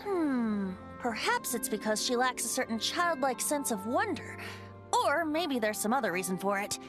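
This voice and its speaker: A woman's voice: fairly high pitch, expressive, moderate pace, music in the background, close to an everyday voice. A woman voicing a youthful boy bard in a video game, breezy and carefree, with a lilting, teasing and coy delivery. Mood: explaining, coy. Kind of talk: video game character dialogue.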